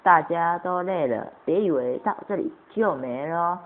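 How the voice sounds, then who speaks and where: A woman's voice: fairly low pitch, expressive, moderate pace. A woman performing and hosting an amateur radio drama. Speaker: host and narrator of an amateur radio play. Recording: tinny phone-like sound with faint noise.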